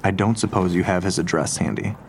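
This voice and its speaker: An actor playing an unflappable, condescending male police detective in an audio drama, with a deliberate deadpan manner. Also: low voice, a little hiss or hum. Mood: measured, official.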